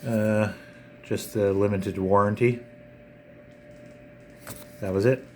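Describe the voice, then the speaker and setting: A man hosting a casual, unscripted unboxing vlog, talking in a halting way with frequent pauses. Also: on the low side, steady tone, darkish tone. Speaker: video channel host. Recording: clear background noise.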